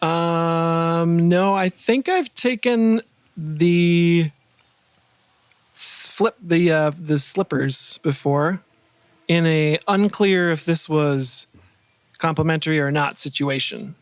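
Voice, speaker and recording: A man's voice: fairly high pitch, slow, smooth, dry recording. A man chatting casually as a podcast guest, easygoing and agreeable. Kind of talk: casual chat.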